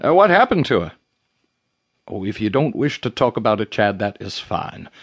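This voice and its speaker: A frontier preacher in a western radio drama. His speech is formal and courteous, swinging widely in pitch as he gushes politely and pries with great curiosity. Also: very animated, moderate pace. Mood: gently apologetic. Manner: courteous, gushing, formal, inquisitive. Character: genial, nosy, courteous frontier preacher, a newcomer.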